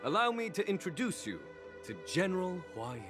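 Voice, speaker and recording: A courteous, composed general from a video game. His mid-pitched voice swings expressively as he speaks in gracious, formal phrases, with faint music behind him. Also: big pitch swings, a little fast.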